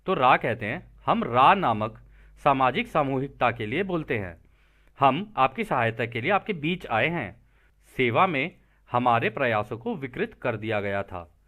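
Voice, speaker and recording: A man's voice: mid-range voice, very animated, a little slow, pushed and bright. A man presenting a text, reading it aloud and explaining it conversationally, often repeating and rephrasing his points.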